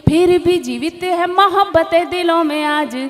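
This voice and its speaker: A young woman poet at a stage poetry gathering, reciting couplets, repeating each line and slowly singing the refrains, with quick chatty asides between verses. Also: extremely high pitch, long reverb tail, soft background music. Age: young adult. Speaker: poet at a mushaira.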